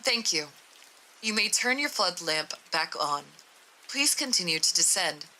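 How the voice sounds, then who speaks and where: A woman voicing a calm, insistent research doctor in a horror story read-aloud, formal and detached. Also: on the low side, unhurried, voice pushed down, full character voice, low-quality recording.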